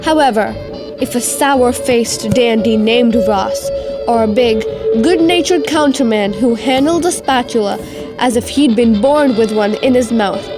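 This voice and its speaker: A young woman reading scripted narration for a student radio play, measured and storytelling. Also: on the high side, rough recording. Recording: loud music bed behind.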